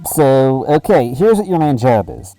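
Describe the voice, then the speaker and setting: A man voicing a small, jittery but laid-back male minion creature with a loud, high, excitable voice. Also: unhurried, dry recording, faint music behind, low-quality recording. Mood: casual.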